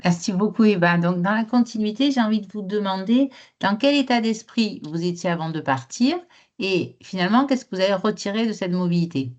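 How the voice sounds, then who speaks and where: A woman's voice: mid-range voice, expressive, fairly quick, a little mellow. A woman moderating a webinar, asking short, polite questions. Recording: muffled video call audio.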